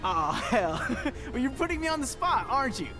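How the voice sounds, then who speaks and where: Affable young soldier, high pitch, folksy, colloquial, hesitant, game dialogue, music underneath. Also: male voice, big pitch swings, normal speed. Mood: good-natured, sheepish. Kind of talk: video game dialogue acting. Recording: music behind the voice.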